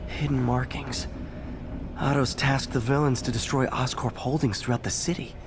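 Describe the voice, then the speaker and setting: Guy acting young superhero talking to himself, quick-witted, casual, noisy ambience behind him. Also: mid-range voice, steady tone, close to an everyday voice. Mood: puzzled, focused. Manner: conversational, musing to himself. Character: young male superhero detective, earnest, quick-witted, thinking aloud. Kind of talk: naturalistic video game acting.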